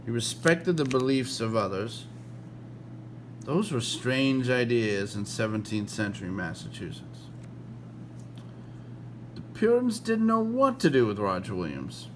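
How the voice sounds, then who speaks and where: A man's voice: mid-range voice, big pitch swings, low-quality recording. American man reading aloud, with background hum. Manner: halting delivery, stumbling and correcting himself. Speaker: read-along host reading a history book aloud. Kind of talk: informal read-aloud with casual asides.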